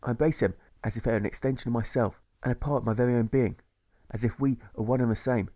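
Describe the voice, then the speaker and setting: A man voicing a serene, wise boy in a storybook fable, with calm, instructive phrasing. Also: medium pitch, fast, close to an everyday voice.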